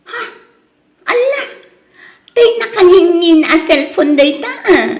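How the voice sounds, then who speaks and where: An actress with an Ilocano Filipino accent playing a hesitant young wife tempted by easy money in a radio drama. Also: female voice, extremely high pitch, expressive, takes it slowly, exaggerated voice. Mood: surprised, envious. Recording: roomy, echoing studio drama sound.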